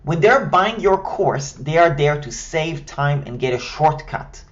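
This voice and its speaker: A man's voice: fairly high pitch, expressive, unhurried, highs cut off. Israeli-accented man teaching step by step. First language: Hebrew. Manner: structured, step-by-step, direct. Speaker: online course coach. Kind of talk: scripted tutorial.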